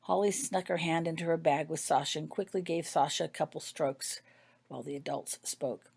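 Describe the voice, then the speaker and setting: A woman's voice: low voice, steady tone, normal speed, creaky, a little mellow, breathy edge. A woman narrating a scripted story, reading her own fiction aloud.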